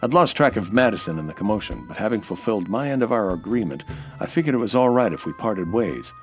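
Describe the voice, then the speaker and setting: An actor playing a hard-boiled, cynical American nightclub owner in a dry, clipped detective radio drama style. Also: male voice, lowish voice, lively intonation, fast, faint music behind, lightly acted. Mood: wry, narrating. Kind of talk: 1940s detective radio drama narration and dialogue.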